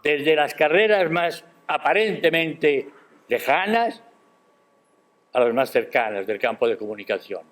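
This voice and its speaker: An older man giving a formal academic speech at a university ceremony, measured and emphatic, posing rhetorical questions. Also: a little high, lively intonation, fairly bright tone. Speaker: guest speaker at a university ceremony. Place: university auditorium.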